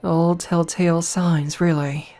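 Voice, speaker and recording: A voice actress playing a dominant, flirtatious noble vampire princess in a soft, slow ASMR roleplay, recorded close to the mic. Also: female voice, on the low side, flat delivery. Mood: teasing. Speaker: voice actor. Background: faint music.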